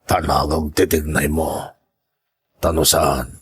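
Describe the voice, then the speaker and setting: Man acting as menacing stranger, low and very raspy, slow delivery, Ilocano Filipino accent, radio drama villain. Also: flat delivery, a bit bright, full character voice. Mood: threatening. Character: menacing male stranger with a grudge.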